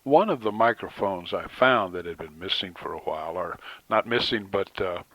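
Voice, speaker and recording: A man giving an informal product demonstration, speaking in a measured, matter-of-fact way as the presenter. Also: sits low, animated, a bit bright, no echo, rough recording. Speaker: presenter demonstrating vintage radio microphones.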